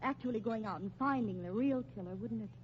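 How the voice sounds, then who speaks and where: An actress playing a prim, loyal wife in a 1950s radio drama, protesting in a haughty, flustered way. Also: female voice, a little high, fast talker, close to an everyday voice. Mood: indignant protest. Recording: muffled, noisy old radio recording.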